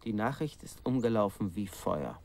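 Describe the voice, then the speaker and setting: Village storyteller, deep voice, grave, suspenseful, radio play narration, grim folk tale. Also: male voice, very animated, moderate pace, vocal fry, noisy background. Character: male village storyteller recounting a grim legend.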